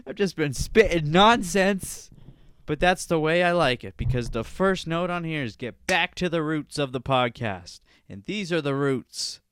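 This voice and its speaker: A man's voice: a little high, fairly bright tone, clean tone, dry recording, studio quality. A man hosting his own comedy podcast, rambling, swearing and laughing at his own jokes. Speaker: podcast host. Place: home studio.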